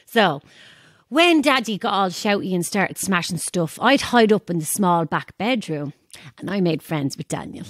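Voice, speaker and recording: A woman's voice: lowish voice, animated, moderate pace, dry recording, clean studio sound. An actress with a Dublin accent playing a down-to-earth, gossipy woman in an audio drama, frank and wry as she chats. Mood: frank, confiding. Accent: Irish, Dublin. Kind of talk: audio drama, casual banter and storytelling.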